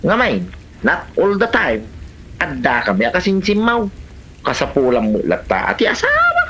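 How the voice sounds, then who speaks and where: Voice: mid-range voice, huge pitch swings, moderate pace, fairly bright tone, heavily acted. An actor playing a meddling, plain-spoken relative in an Ilocano comedy drama, with a Filipino Ilocano accent and a nagging manner.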